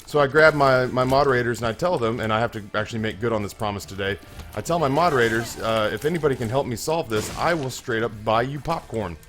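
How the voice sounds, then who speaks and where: A young man with a slightly hoarse, middle-pitched voice talks over his gameplay in a loose, rambling way. He stumbles and restarts his sentences as he tells a self-mocking story. Faint music plays quietly behind him. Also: quick pace. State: a little hoarse. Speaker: gaming channel host. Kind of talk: casual let's-play commentary.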